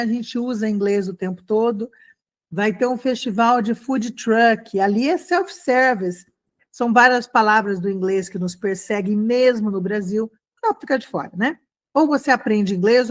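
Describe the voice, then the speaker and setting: A woman with a Brazilian accent giving a conversational online language lesson, encouraging and animated, often checking in with short questions to her students. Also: a little high. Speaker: online English teacher.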